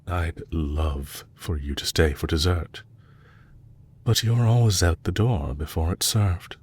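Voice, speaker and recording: A man playing a composed, courteous villain leader in an audio roleplay, speaking in a measured, formal way to a captive he is fond of. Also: low-pitched, darkish tone, husky, full character voice. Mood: probing, courteous.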